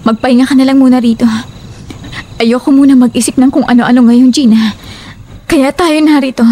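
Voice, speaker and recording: Woman acting high-voiced, trusting young friend. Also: fairly even intonation, fairly quick, dark tone. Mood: defensive, weary. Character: troubled, trusting young woman, soothing then defensive friend. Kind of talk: Tagalog horror audio drama acting.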